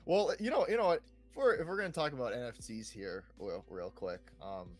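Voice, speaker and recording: A young man talks casually as a podcast co-host, in a mid-pitched voice. He is hesitant, doubling up words and often tagging on little checks for agreement. Soft music plays behind him. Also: fairly quick. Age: young adult. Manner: hesitant, repeats words, often seeks agreement with "right?" and "you know". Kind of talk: casual chat about video games.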